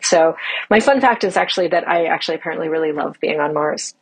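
A woman's voice: low voice, fast, breathy edge, poor audio. A woman speaking as a mission commander, giving an informal audio log update in an upbeat, emphatic manner. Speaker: mission commander of a Mars analog crew.

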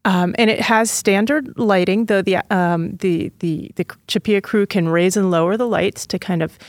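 A woman plant scientist talks through her work as a podcast guest, patient and detailed. Her voice sits at an ordinary middle pitch. The sound is close and dry, with no room around it. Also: normal speed, no echo. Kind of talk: explanatory interview talk.

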